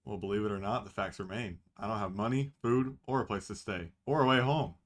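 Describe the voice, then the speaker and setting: Man reading as a stranded human guy, low voice, matter-of-fact. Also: brisk, close to an everyday voice.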